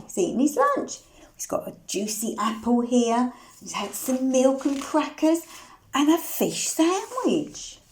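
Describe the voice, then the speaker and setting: Lady doing kids storytime, really slow n sing-songy, high voice that goes up and down loads. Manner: animated, sing-song, asking the listeners questions. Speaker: storyteller reading a children's picture book. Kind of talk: interactive read-aloud for young children, with sung refrains.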